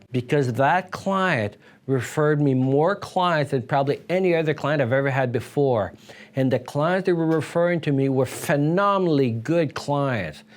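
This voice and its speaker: Man giving emphatic advice, slightly high voice. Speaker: accountant giving business advice as video host. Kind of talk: instructional advice talk to camera.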